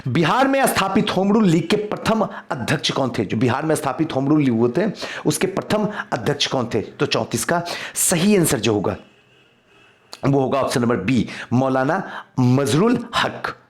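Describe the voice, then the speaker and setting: A man's voice: medium pitch, sing-song, moderate pace. A man teaching exam history in a quiz lesson, reading out questions and explaining answers, repeating key points for emphasis.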